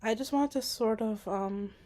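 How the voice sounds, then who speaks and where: A hesitant young woman speaks to camera in a casual, personal monologue. Her speech is self-conscious and full of filler words. She keeps her voice soft, sometimes sinking to a whisper. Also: on the high side, unhurried. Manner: soft-spoken, at times dropping to a whisper. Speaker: vlogger.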